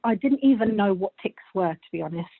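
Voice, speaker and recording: A woman's voice: mid-range voice, lively intonation, a little fast, no room sound, phone-quality sound. A thirty-year-old woman from Bulgaria who moved to England as a child, chatting casually as a podcast guest. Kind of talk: casual interview chat.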